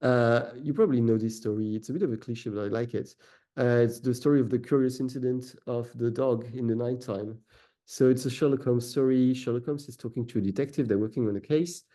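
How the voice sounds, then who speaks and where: French-accented man giving a lecture, dark voice. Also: mid-range voice, steady tone, a little fast. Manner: frequent "uh" fillers and self-corrections. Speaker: researcher giving a talk. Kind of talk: academic lecture.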